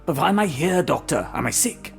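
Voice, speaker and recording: A man playing a befuddled, forgetful former professor in a video game, politely hesitant, with a husky, creaky voice. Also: fairly high pitch, expressive, fairly quick, soft background music. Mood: puzzled. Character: befuddled, amnesiac asylum patient, a former professor.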